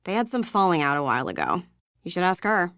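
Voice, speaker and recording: A woman voicing a guarded, sarcastic young American misfit in scripted video game dialogue, with a casual, sullen, defensive deadpan. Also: on the low side, fast, no echo, studio quality. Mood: wary.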